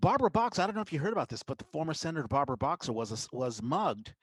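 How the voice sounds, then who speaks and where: A man hosting a podcast, chatting casually about the news in a conversational, somewhat halting way. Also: a little high, sing-song, husky, dry recording. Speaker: podcast host.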